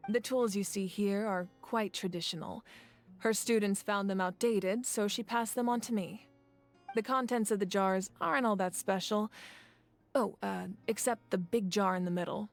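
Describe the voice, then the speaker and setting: An actress plays a proud, composed woman warrior in a video game. She tells stories in a measured, thoughtful manner at a medium pitch, with faint music underneath. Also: natural voice. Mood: fond, explanatory. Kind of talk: video game story dialogue.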